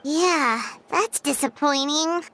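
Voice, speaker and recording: A woman voicing a tiny, childlike floating companion girl in an anime game, excitable and eager. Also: takes it slowly, a little hiss or hum, exaggerated voice. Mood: let down. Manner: squeaky, excitable, childlike exclamations. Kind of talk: anime video game voice acting.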